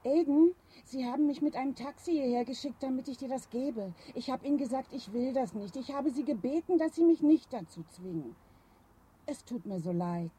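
Radio play colleague: reluctant, apologetic woman, very soft dark timbre, high pitch, faint ambience. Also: animated, normal speed, slightly rough, full character voice. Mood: apologetic, distressed. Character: reluctant, apologetic female co-worker bearing bad news. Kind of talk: naturalistic German radio drama acting.

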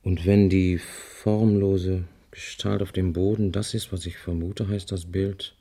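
An actor playing a wry, analytical husband in a radio crime drama, reasoning aloud in a deliberate, musing way. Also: male voice, low-pitched, level tone, mellow. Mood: wry, grave. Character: wry analytical husband puzzling out a child's grisly drawings.

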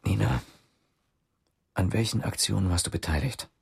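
An actor playing a terse, guarded man on the run in a German radio drama, with a husky, gravelly voice. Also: sits low, animated, moderate pace, heavily acted. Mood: probing.

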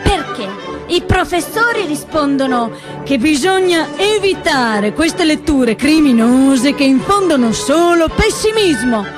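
A woman's voice: high voice, big pitch swings, a little slow, music in the background. A woman on stage playing an idealistic, indignant seventeen-year-old girl who questions everything insistently and declaims. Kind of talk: theatrical stage monologue.

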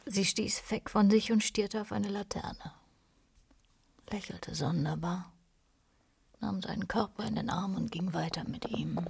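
A woman narrating literary prose in a radio play, in a breathy, half-whispered voice. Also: mid-range voice, unhurried, pauses often, a little mellow, a little hiss or hum.

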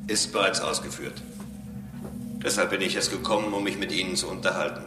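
Man playing a low-voiced, echoing philosophical robot. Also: steady tone, brisk, close to an everyday voice. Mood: coolly logical. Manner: formal, pedantic, declarative. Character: self-assured philosophizing robot, logical and condescending. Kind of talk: radio drama acting. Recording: echoing sound, music behind.

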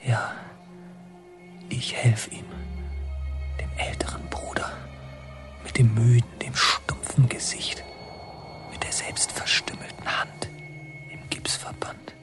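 An actor playing a brooding, haunted young man who narrates his memories in a deliberate, halting, literary radio drama style. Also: medium pitch, level tone, whispered, over music, natural voice. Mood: strained, murmuring. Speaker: narrator and protagonist.

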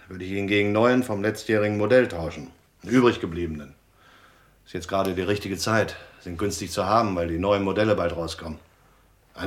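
An actor playing a tough but anxious gangster dropout in a German radio drama, speaking in a guarded, terse way. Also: male voice, sits low, close to an everyday voice.

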